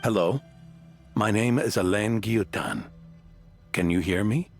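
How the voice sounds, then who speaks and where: Gentle, low-pitched inventor. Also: male voice, measured pace, faint music behind. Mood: gentle, encouraging. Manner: gentle, hesitant, explanatory. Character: kindly male inventor, gentle mentor to his creation. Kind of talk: video game character acting.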